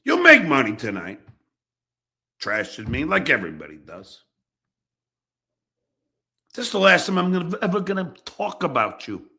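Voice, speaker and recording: A man rants angrily and profanely in a confrontational, emphatic way. His voice sits fairly high and is forceful and sharp, with a creaky edge. He leaves dramatic pauses between phrases, as if addressing a rival directly. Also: wildly swooping pitch. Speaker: online show host. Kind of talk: angry personal rant addressed to an absent rival.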